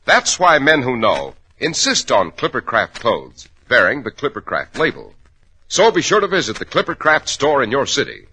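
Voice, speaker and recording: A man's voice: mid-range voice, wildly swooping pitch, moderate pace, sharp tone, husky. A man with an American accent, a polished and emphatic 1940s radio announcer and host delivering scripted lines.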